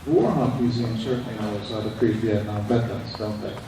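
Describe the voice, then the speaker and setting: A man's voice: lowish voice, a little fast. An older man from Indonesia giving a prepared speech to an audience at a veterans event, with dry, plain-spoken humour. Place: large echoey hall, recorded from far off. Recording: echoey hall, distant camera, background noise.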